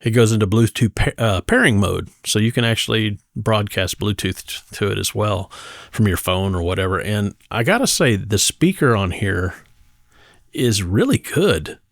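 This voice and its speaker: A man giving a casual, conversational product demonstration as a gadget reviewer, speaking hesitantly with pauses mid-phrase. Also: fairly low pitch, animated, vocal fry, no echo, clean studio sound.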